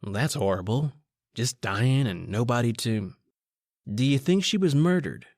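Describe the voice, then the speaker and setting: A man's voice: medium pitch, lively intonation, unhurried, a little mellow, dry recording, studio quality. A man playing a nervous young reporter who narrates his own story in an earnest, intense 1940s radio drama style. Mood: shaken, angry.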